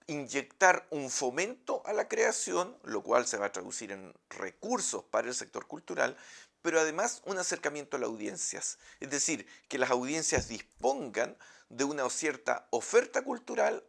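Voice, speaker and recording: A man's voice: on the high side, creaky, fairly bright tone. A middle-aged Chilean man with a Chilean accent, a journalism professor giving a recorded lecture from his office, explaining and posing questions to his audience. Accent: Chilean Spanish.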